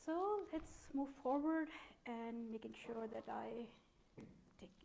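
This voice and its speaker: A woman's voice: fairly high pitch, slow, gravelly, dark tone, slight background noise. A woman in her thirties with a Pakistani accent, giving an informal talk in an explanatory, conversational way. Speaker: speaker, data analytics program manager. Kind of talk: conference talk, informal presentation.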